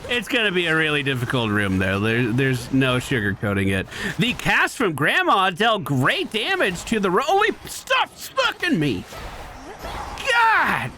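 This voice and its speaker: Animated, higher-voiced man commentating a game. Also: unhurried, a little hiss or hum. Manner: exasperated exclamations, swearing, talking to himself. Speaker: gaming video creator. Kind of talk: casual game playthrough commentary.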